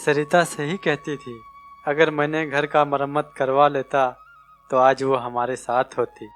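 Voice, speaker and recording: A man's voice: mid-range voice, level tone, measured pace, fairly bright tone, faint music behind, natural voice. A man playing a tired, affectionate, burdened father and husband in a storybook tale, weary and tender. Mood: sad, frustrated.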